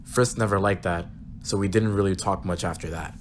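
A man's voice: low voice, steady tone, a little fast, slight background noise, lightly acted. A man playing a defensive, awkwardly rambling supervisor in an audio drama. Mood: defensive, flustered. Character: flustered, defensive male downstairs supervisor.

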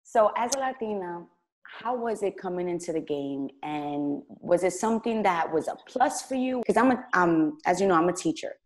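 A woman who teaches, interviewing a guest over a video call and encouraging her with quick affirmations. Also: lowish voice, lively intonation. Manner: warm and encouraging, affirms often. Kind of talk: casual interview chat. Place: room at home.

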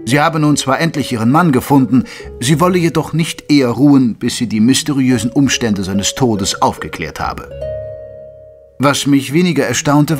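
A man playing an earnest Victorian doctor who narrates a detective audio drama in a measured, literary way. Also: medium pitch, lightly acted. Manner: measured, literary storytelling delivery. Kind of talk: scripted German audio drama narration with dialogue.

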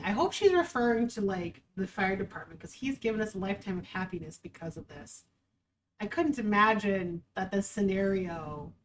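A woman's voice: medium pitch, distant mic, a little hiss or hum, low-quality recording. A young woman, a dog trainer, chatting casually and wryly as she reads a news story aloud and comments on it. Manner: chatty, wry, reads article aloud with asides.

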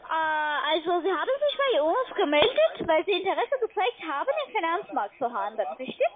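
Very high-pitched woman on the phone. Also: very animated, moderate pace. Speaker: call-centre sales agent. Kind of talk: cold-call sales pitch. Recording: narrow tinny phone line.

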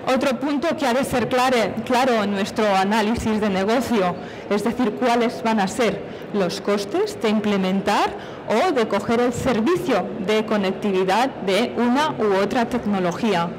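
A middle-aged woman speaks on stage as a conference presenter, with a fairly high voice. She explains technical points methodically, framing them with questions. There is some faint background noise from the event space. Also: a little slow, rough recording. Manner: explanatory, with self-posed questions. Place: on stage at a conference, filmed from a distance.

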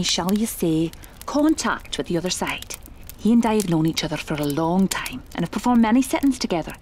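A woman's voice: medium pitch, a little mellow, slight background noise, close to an everyday voice. A woman playing a commanding, mysterious spirit medium of the 1920s in a horror audio drama, with formal, theatrical diction. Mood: solemn, confiding. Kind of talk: dramatic horror podcast acting.

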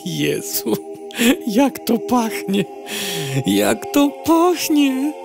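Blunt, drawling city housefly. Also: female voice, a little high, very animated, drawn-out words, vocal fry, music in the background, heavily acted. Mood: dreamy, amazed. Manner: hesitant politeness slipping into sardonic grumbling. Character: hungry city housefly, female, blunt and sarcastic. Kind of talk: audio comedy drama acting.

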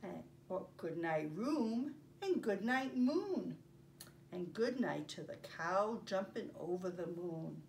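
An older woman presenting story time for young children, speaking in simple, deliberate phrases with pauses as she reads and demonstrates. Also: fairly low pitch, expressive, vocal fry, a little mellow. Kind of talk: read-aloud and craft demonstration for young children. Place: carpeted home living room. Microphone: camera a few feet away. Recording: distant mic, faint room noise.

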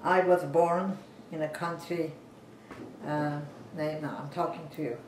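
An elderly woman speaks in a measured, plain way, recalling her life for an interviewer. She sits on a sofa in a living room, heard from a camera some distance away. The room adds a roomy echo, with a faint hum of ambience behind her. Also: low-pitched, steady tone. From Iran. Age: 90s. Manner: measured, plain storytelling, seated and still. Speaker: interviewee, physician. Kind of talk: personal life story interview. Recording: roomy, distant home recording.

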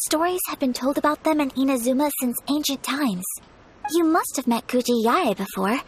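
A woman voicing a graceful young noblewoman in a video game, speaking formally, gently and in a measured way. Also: high voice, slight background noise, natural voice. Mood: thoughtful. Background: game music.

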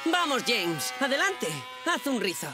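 A woman voicing a cheeky, pushy young boy in a children's cartoon dub, coaxing, boastful and excitable, with music behind. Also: high voice, unhurried.

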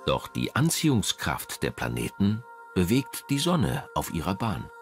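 Male narrator, low voice, lively intonation, measured dramatic documentary delivery, music behind. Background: background music.

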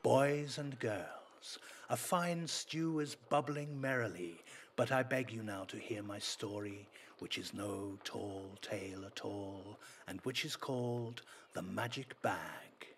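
A man reading a folk tale as a storyteller, in a dramatic, deliberate manner. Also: on the low side, slight background noise.

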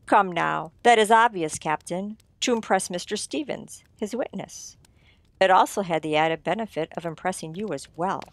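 A woman playing a shrewd writer-sleuth who explains things theatrically and deliberately in an old-time radio mystery. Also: on the low side, no echo, lightly acted. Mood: smugly accusing.

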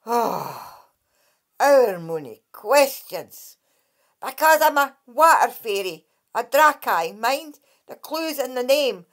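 A woman's voice: on the high side, big pitch swings, takes it slowly, fairly bright tone, voice pushed up, exaggerated voice. A woman voicing a tiny, ugly male water fairy with a Scottish accent, cheeky and scolding.

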